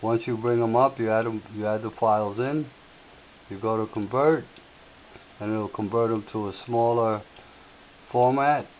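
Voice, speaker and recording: A man with a slightly raspy voice, hosting a software tutorial and explaining each step in an unhurried way with filler words. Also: lowish voice, steady tone. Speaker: tutorial host. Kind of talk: screen-share software tutorial. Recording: tinny lo-fi audio with faint hiss.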